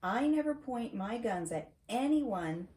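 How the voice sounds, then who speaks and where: A woman's voice: a little high, expressive, unhurried, a little mellow. A middle-aged woman reading a children's picture book aloud, encouraging, with chatty asides and questions to check understanding. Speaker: storyteller reading to grandchild. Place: wood-shelved home study, indoors. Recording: roomy echo, faint noise, clicks.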